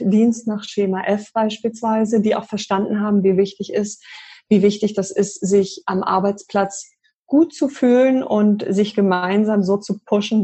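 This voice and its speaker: A middle-aged woman from Berlin with a mellow, husky voice talks in a measured, articulate way. She answers questions as an interview guest, explaining her work as a leadership coach with calm, steady confidence. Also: medium pitch. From Berlin, Germany. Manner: measured, articulate, occasional fillers. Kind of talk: conversational interview.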